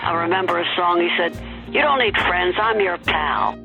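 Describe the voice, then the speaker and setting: An older woman from Baltimore recalling her childhood in a reflective, unhurried archival interview. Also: fairly low pitch, lively intonation, muffled recording, soft background music. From Baltimore, USA.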